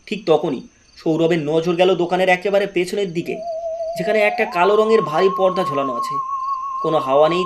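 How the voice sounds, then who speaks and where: Guy narrating a scary story, high voice, swoops around a lot, music under it. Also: heavy background noise. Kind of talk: dramatic horror story narration.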